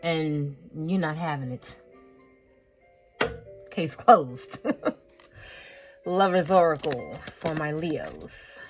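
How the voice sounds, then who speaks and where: A woman's voice: deep voice, expressive, extremely slow, pauses often, soft background music, low-quality recording. A woman giving a casual tarot reading in a frank, blunt way. She swears freely, laughs in asides, repeats phrases for emphasis and sniffles from a slight head cold. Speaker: tarot reader.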